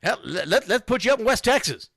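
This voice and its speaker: Male sports talk host, blunt and emphatic, slightly high-pitched with a sharp, forceful edge. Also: very animated, fast talker, creaky, dry recording, clean studio sound. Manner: blunt, emphatic, repeats phrases for stress. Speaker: youth football radio show host. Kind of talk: informal sports talk monologue.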